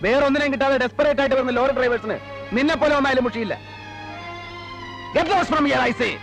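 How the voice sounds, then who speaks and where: A man's voice: very high voice, quick pace, music in the background. An actor playing an arrogant, cruel, domineering man in a film drama, scornful, with an Indian Malayali accent. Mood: furious, insulting. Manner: scornful, mixes Malayalam with English outbursts. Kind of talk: heated film drama dialogue.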